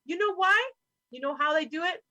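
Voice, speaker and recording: A woman's voice: very high voice, expressive, fairly quick, pushed and bright, no echo. A woman speaking as a guest in a roundtable discussion, talking in an impassioned, profane, rambling way over a remote call. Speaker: podcast guest.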